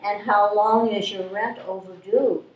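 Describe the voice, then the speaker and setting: Slow officious woman acting a female clerk, echoey. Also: medium pitch, fairly even intonation, lo-fi sound, lightly acted. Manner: officious, measured. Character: officious, obtuse woman helpline clerk, politely bureaucratic. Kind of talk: live readers theatre reading. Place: live stage reading in a room. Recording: echoey room, distant microphone.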